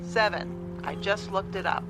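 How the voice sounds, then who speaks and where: A woman's voice: medium pitch, a little slow, lightly acted. A woman playing an eager, caring mother of a grown son in natural audio drama dialogue. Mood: earnest. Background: music.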